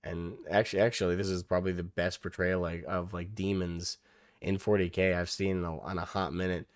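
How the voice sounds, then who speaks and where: A young man hosting a reaction video, losing his voice and hoarse, rambling casually with many restarts and the odd swear. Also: low-pitched, little pitch movement, brisk, no room sound, clean studio sound.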